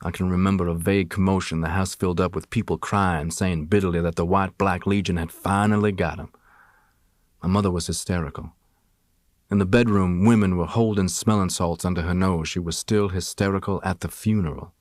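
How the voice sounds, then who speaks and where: Low-voiced man reading a memoir. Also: fairly even intonation, brisk, a little mellow, no echo, clean studio sound. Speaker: audiobook reader. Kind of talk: first-person memoir narration, scripted.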